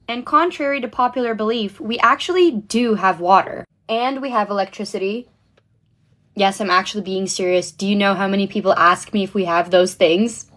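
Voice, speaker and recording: A woman's voice: mid-range voice, fairly bright tone. A woman in her twenties from South Africa with an American accent, chatting casually to her viewers as an influencer while getting ready. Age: 20s. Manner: chatty, upbeat, talking straight to viewers. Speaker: social media influencer. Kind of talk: get-ready-with-me vlog chat.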